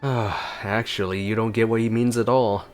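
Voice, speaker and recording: A man's voice: lowish voice, steady tone, normal speed. A man giving casual gameplay commentary as a streamer, laid-back with wry asides. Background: game music.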